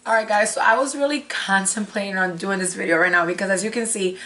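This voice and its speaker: A young woman with a raspy, hoarse voice that is giving out, chatting casually as a beauty vlogger and thinking aloud as she goes. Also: medium pitch, fast, pushed and bright, faint music behind. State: hoarse, losing her voice. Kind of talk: casual unboxing chat. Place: small indoor room with curtain backdrop.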